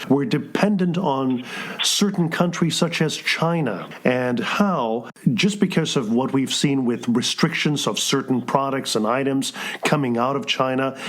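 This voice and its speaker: A man co-hosting an interview show, putting long questions in a courteous, formal way. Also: medium pitch. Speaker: radio show co-host, interviewer.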